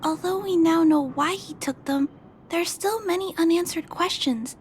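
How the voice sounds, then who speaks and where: High-voiced earnest young girl. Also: normal speed, a little mellow, slight background noise. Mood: curious, pondering. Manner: polite, thoughtful, musing aloud. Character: earnest, gentle, curious young girl investigating robots. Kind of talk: video game voice acting. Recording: game music behind the voice.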